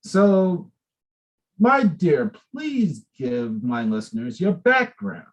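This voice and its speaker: A man's voice: fairly high pitch, huge pitch swings, takes it slowly, highs cut off. A man hosting an interview show, effusive and chatty as he welcomes his guest. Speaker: host.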